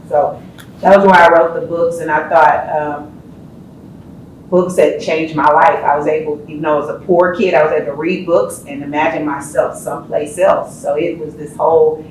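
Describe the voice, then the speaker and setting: A man from Houston, a panel guest who answers thoughtfully and haltingly with fillers. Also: a little high, a little hiss or hum. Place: college event hall. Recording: echoey hall mic from a distance.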